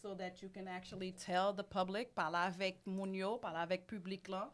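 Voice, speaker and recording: A woman's voice: mid-range voice, steady tone, brisk. A woman hosting a talk show who casually mixes French phrases into her English.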